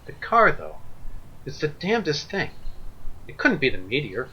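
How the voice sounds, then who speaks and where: An actor playing a puzzled, level-headed suburban husband and neighbour in a radio play, with a husky voice. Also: male voice, a little high, huge pitch swings, normal speed, highs cut off, slight background noise.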